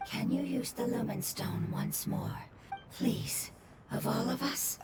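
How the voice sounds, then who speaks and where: A woman playing a calm, grave, compassionate guardian in a video game, speaking in a measured, formal way. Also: fairly high pitch, whispered, full character voice. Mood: tender, solemn. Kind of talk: video game character acting. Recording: loud game music behind the voice.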